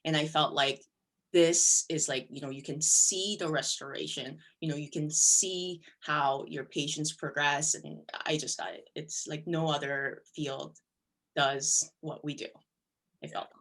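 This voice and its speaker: A woman's voice: sits low, measured pace, fairly bright tone. A young woman from Korea, a surgical resident, chatting casually in an interview and filling her answers with "you know" and "like". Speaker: plastic surgery resident.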